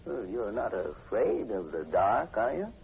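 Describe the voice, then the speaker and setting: Scholarly investigator professor, vintage radio drama. Lively intonation, creaky edge, measured and inquisitive delivery on a muffled old recording. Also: male voice, fairly low pitch, a little hiss or hum, heavily acted. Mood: grave, explanatory. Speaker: actor in a radio play.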